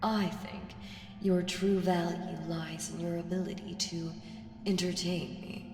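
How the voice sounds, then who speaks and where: Casting: woman as smug ancient evil being for audio roleplay, seductive and condescending, very slow delivery, drenched in cavernous reverb. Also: on the low side, flat delivery. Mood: playful scheming. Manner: slow, teasing, condescending, breaking into breathy whispers. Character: ancient evil female entity, smug, seductive, toying with mortals. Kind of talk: ASMR audio roleplay monologue. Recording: echoey hall reverb, distant, music behind.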